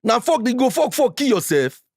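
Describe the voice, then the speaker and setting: A man in his forties with a Nigerian accent, a guest in a casual interview who talks in an animated, defensive way and slips into Pidgin when he gets heated. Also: very high voice, normal speed, vocal fry, dry recording, studio quality. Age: 40s.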